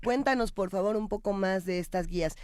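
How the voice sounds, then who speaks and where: A woman's voice: mid-range voice, a little fast, darkish tone, smooth, dry recording. A woman with a Mexico City accent hosting a magazine show, interviewing in a conversational way. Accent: Mexico City Spanish.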